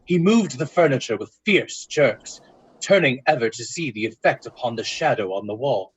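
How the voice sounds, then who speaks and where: A man narrating a ghost story in old-time radio style, with deliberate, suspenseful storytelling. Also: medium pitch, animated, breathy edge, rough recording.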